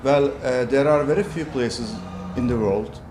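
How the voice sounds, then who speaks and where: A man in his forties from Cyprus, a property developer, answering interview questions in a measured, hesitant way with many fillers. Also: medium pitch. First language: Turkish. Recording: roomy echo with background music.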